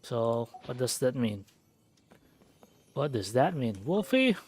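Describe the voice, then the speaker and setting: A man's voice: medium pitch, sing-song, takes it slowly, darkish tone, slight background noise. A man chatting casually on a game livestream, musing aloud to himself as he plays. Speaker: game streamer. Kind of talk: casual livestream gameplay chat.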